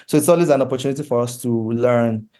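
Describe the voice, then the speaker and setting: A man with a Nigerian accent, giving earnest, measured answers in a conversational interview. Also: mid-range voice, mellow. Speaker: comic book creator, interview guest.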